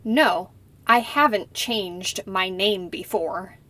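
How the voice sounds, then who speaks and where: A young woman playing a polite citizenship applicant who answers slowly and carefully in a scripted practice interview. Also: medium pitch, a bit bright, no room sound. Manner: slow, careful, deliberate answers. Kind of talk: scripted role-play interview.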